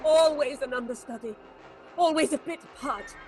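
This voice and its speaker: High-voiced, unhinged actress confessing murder played by a woman. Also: normal speed, darkish tone, soft background music, close to an everyday voice. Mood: bitter, anguished. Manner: melodramatic, ranting, unhinged. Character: guilt-crazed, jealous actress confessing murder. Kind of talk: theatrical horror audio drama.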